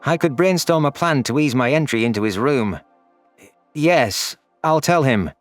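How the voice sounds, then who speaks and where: Male narrator, mid-pitched, first-person sci-fi storytelling, anguished alien character, faint background music. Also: animated, unhurried, no room sound.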